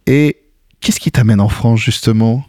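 A man's voice: medium pitch, measured pace, mellow, dry recording, studio quality. A male radio host running a morning interview in a friendly way, with many fillers. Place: radio studio.

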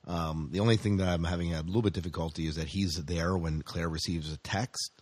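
Low-voiced man chatting on a podcast. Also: steady tone, fairly quick, a little mellow, no echo. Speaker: podcast co-host leading the discussion. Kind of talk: casual TV discussion chat.

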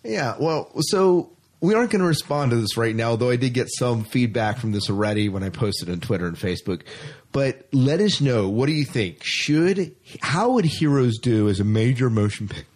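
A man with a mid-pitched, slightly husky voice hosts a podcast. He talks casually and enthusiastically, leaning on key words and repeating phrases for emphasis. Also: expressive, moderate pace, darkish tone. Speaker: podcast host. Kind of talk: casual scripted-rundown podcast chat.

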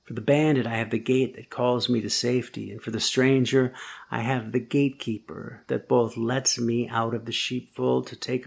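A man preaching a reflective sermon, slow and thoughtful, building to emphatic points and then telling a first-person story. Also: mid-range voice, creaky, slightly rough.

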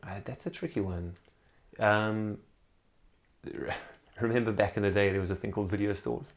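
A man's voice: lowish voice, moderate pace. A man from Africa, chatting casually as a podcast guest and rambling with fillers. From South Africa. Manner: relaxed, rambling with fillers and laughs. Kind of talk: casual chat.

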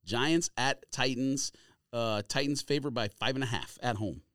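A man's voice: mid-range voice, normal speed, a bit bright, no echo, clean studio sound. An American man co-hosting a sports podcast, giving blunt, opinionated picks in casual, slangy talk.

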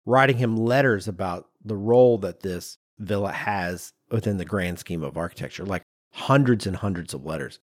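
A man's voice: low voice, moderate pace, hardly any pauses, dry recording, studio quality. A man, telling stories as a podcast co-host in an emphatic, self-deprecating, conversational way. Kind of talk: animated storytelling in casual podcast chat.

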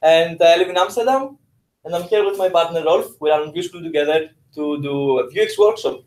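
A man's voice: on the high side, brisk, a little mellow, low-quality recording. A man from Greece with a Greek accent, a workshop instructor answering interview questions.

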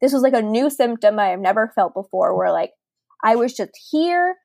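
A woman's voice: fairly high pitch, lively intonation, moderate pace, gravelly, a little mellow, no echo. Chatty woman in her late twenties, dry close sound. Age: late 20s. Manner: chatty, laughs often, lots of "like" fillers. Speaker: podcast co-host. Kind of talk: casual podcast chat.

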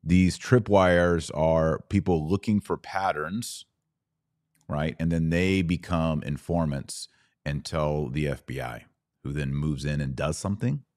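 A man's voice: extremely low pitch, measured pace, darkish tone, dry recording, clean studio sound. A man hosting a livestream podcast, reading viewer comments aloud and answering them in an earnest, conversational way that rises to indignant emphasis. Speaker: podcast host.

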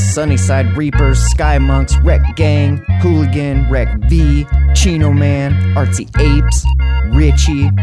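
A man reading a scripted podcast outro in a measured way. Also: a little high. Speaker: podcast host.

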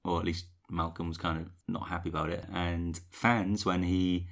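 A man's voice: low-pitched, little pitch movement, moderate pace, vocal fry. A young man co-hosting a podcast, recapping a TV episode in a chatty, rambling way. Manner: chatty, rambling with fillers and laughs. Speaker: podcast co-host. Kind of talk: casual chat recapping a TV episode.

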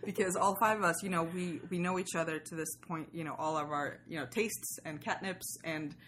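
A woman's voice: lowish voice, fairly even intonation, normal speed, a bit bright, a little hiss or hum. A woman from Sweden chats casually as a podcast guest, rambling through asides and acting out imagined lines. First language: Swedish. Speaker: podcaster and writer, interview guest. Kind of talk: casual interview chat.

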